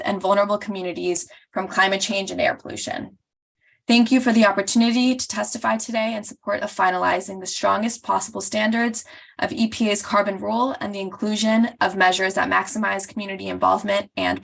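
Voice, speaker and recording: Woman from costa rica, advocacy coordinator, creaky voice, steady scripted read, video call. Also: medium pitch. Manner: polished, reads steadily from a script. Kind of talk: prepared public testimony.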